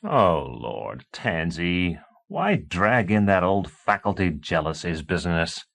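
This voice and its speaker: A man's voice: deep voice, sing-song, slow pace, vocal fry, fairly bright tone, exaggerated voice. A man reading an audiobook, voicing an exasperated professor husband who speaks firmly and impatiently. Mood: stern. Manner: firm, impatient.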